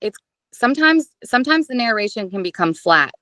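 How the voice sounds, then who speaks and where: A woman audiobook narrator chatting as an interview guest, expressive and self-deprecating, with a casual, animated way of talking. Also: on the high side, brisk, dry recording, studio quality.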